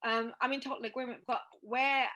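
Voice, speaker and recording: A woman's voice: a little high, fairly even intonation, a little fast, pushed and bright. Woman hosting a podcast chat, emphatic, keeps repeating herself mid-thought. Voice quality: emphatic, repeats words while thinking. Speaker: podcast host. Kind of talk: casual discussion.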